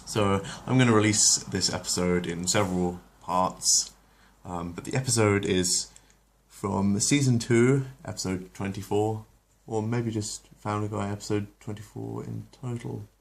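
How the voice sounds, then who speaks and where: A man's voice: lowish voice, fairly even intonation, unhurried, vocal fry, few pauses. A man hosting his channel, chatting casually with fillers and self-corrections, then reading a story aloud. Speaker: channel host and story reader.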